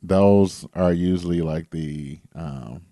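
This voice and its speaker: A man co-hosting a podcast in casual chat, relaxed and slangy, teasing his co-host. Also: low voice, slow pace, no room sound, studio quality.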